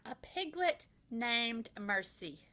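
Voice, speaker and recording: A woman reading a children's bedtime story aloud like a first grade teacher, with expressive, deliberate storytelling. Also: fairly high pitch.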